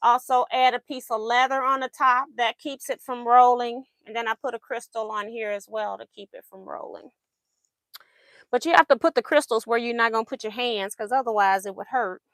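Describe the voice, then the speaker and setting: Brief: high-pitched woman, chatty livestream host, slightly strained voice, dry close sound. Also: fairly even intonation, moderate pace, fairly bright tone, dry recording. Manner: chatty, laughs often, reads viewer comments aloud. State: voice going in and out. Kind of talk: casual livestream chat while sewing.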